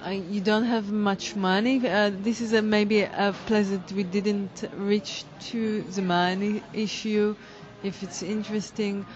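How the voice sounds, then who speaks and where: A woman, a dance teacher and choreographer, answering interview questions in halting English as she searches for words. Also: mid-range voice, steady tone, highs cut off. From Israel.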